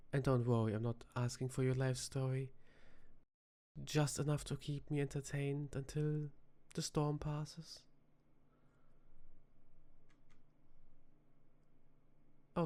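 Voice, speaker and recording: A performer voicing a flirty, teasing vampire tavern bartender in a soft-spoken ASMR roleplay, with dramatic pauses. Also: mid-range voice, close to an everyday voice. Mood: playful, coaxing.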